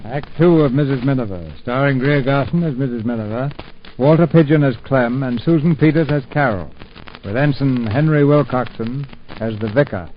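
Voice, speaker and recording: A man's voice: medium pitch, normal speed. Brief: male announcer, formal, dull old radio with faint music. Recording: dull 1940s radio broadcast.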